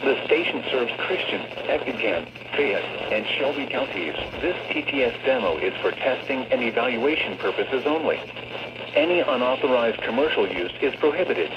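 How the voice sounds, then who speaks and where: A man's voice: mid-range voice, expressive, moderate pace, very noisy. Muffled synthetic male weather radio announcer. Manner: synthetic, stilted text-to-speech delivery. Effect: synthetic voice over weather radio. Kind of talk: scripted weather forecast reading.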